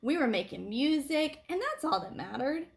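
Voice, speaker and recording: A woman reading a picture book aloud to children like a teacher, with animated phrasing and deliberate emphasis. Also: high-pitched, gravelly. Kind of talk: expressive read-aloud storytelling for children.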